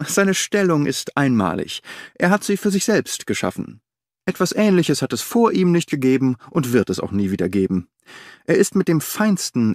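Brief: a man reading an impatient, sardonic audiobook detective, lively pitch swings, dry close sound. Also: dry recording. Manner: animated, sardonic, declaiming. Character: restless, impatient middle-aged male detective. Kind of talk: audiobook character voice.

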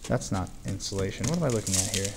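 A man calmly narrating as he explores an abandoned building, talking matter-of-factly with pauses, recorded on a handheld camera. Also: fairly low pitch. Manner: calm, matter-of-fact narration with filler pauses. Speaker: urban explorer. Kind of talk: walking commentary. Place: outdoors and in an abandoned barn-like building. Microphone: handheld camera mic. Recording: handheld camera, clear background noise.

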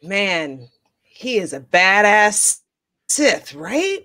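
A woman's voice: medium pitch, big pitch swings, very slow, sharp tone. An American woman from Wisconsin reading a part and bantering at a live table read over a video call. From Wisconsin, United States. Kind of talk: character reading and casual banter.

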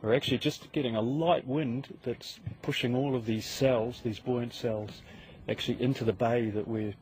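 A man's voice: medium pitch, fairly even intonation, moderate pace, a little mellow, low-quality recording. A man speaking as a university scientist, explaining his subject in an outdoor field interview. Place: outdoors on a lake shore. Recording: faint background noise outdoors.

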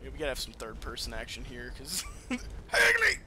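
A man giving casual let's-play game commentary in an excitable, foul-mouthed manner. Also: mid-range voice, brisk, very noisy. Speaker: gamer playing and commentating.

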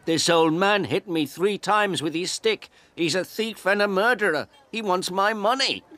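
A man's voice: sits high, moderate pace, pushed and bright, dry recording, voice pushed up. Man playing a blustering, shifty photographer. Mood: outraged, alarmed. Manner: blustering, accusatory. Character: dismissive, angry male photographer, shifty and loud.

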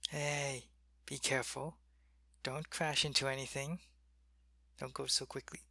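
Gravelly sick alien guy, a man doing it, soft whispery asmr roleplay, bright edge, dry close mic. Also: mid-range voice, flat delivery, unhurried, no echo, heavily acted. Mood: weary, gentle. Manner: soft-spoken, drifting into whispers. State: sick and weak. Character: sick male alien soldier, weak but dutiful.

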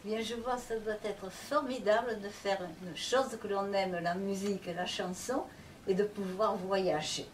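A woman's voice: medium pitch, fairly even intonation, unhurried. Older lady from bordeaux, polite and a bit formal, mic kind of far. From Bordeaux, France. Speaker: care home resident asking the questions. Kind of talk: reading prepared interview questions. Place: meeting room in a care home. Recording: distant mic, faint background hiss.

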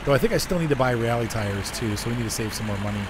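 A hoarse man chatting casually as he streams a driving game, rambling and answering his chat. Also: medium pitch, lively intonation, racing. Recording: noisy game sound behind.

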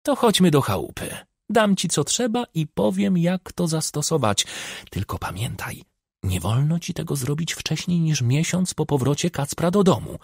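Audiobook role: scheming old witch performed by a male reader, coarse and bawdy, husky gravelly voice, very animated pitch. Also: dry recording, studio quality, heavily acted. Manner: coarse, conspiratorial, instructing.

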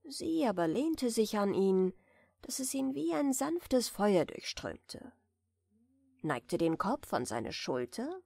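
Soft, slow-reading woman telling bedtime tales. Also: fairly high pitch, big pitch swings, gravelly, slightly rough, dry recording, studio quality. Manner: soft, soothing, deliberately gentle delivery. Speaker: audiobook reader. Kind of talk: gentle bedtime fairy tale narration.

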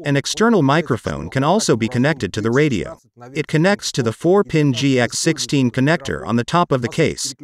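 Methodical Russian-accented man explaining gear. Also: medium pitch. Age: middle-aged. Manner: methodical, matter-of-fact. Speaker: presenter of a radio equipment review channel. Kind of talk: scripted technical explanation. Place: small dim room with computer desk.

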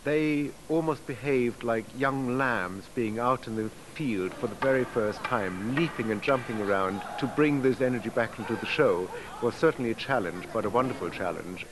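A man with a mid-range voice speaks reflectively in an interview, pausing as he chooses his words. Steady background noise sits behind him. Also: mid-range voice. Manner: reflective, with pauses.

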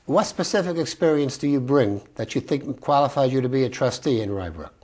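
A man's voice: medium pitch, lively intonation, brisk, a little mellow. A man hosting a local cable interview show, reading formal, scripted introductions and questions. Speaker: host.

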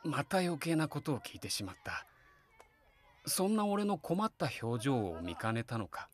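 Man acting wistful middle-aged father, very expressive. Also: medium pitch, normal speed, lightly acted. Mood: wistful, gentle. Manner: reflective storytelling alternating with hearty, coaxing dialogue. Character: middle-aged family man, wistful and kindly, narrating his story. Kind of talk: Japanese voice drama, narration and dialogue.

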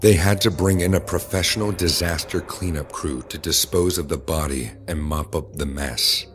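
A very low-pitched man narrates a scripted horror story in the first person. Prominent music plays behind his voice throughout. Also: normal speed, a bit bright. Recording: music bed under the voice. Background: dramatic background music.

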